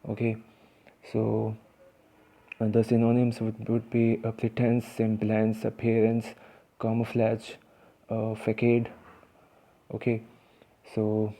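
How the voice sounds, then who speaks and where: A man's voice: fairly low pitch, completely flat delivery, slow pace, pauses often, warm tone. A man with an Indian accent teaching English vocabulary in a casual, hesitant way, with many fillers and restarts. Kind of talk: informal vocabulary lesson.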